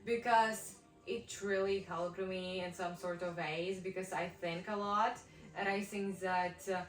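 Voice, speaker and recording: A young woman with a Ukrainian accent, chatting casually and thoughtfully, pausing with many fillers. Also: on the low side, steady tone, echoey room, distant mic, faint music behind, poor audio. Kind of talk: casual chat.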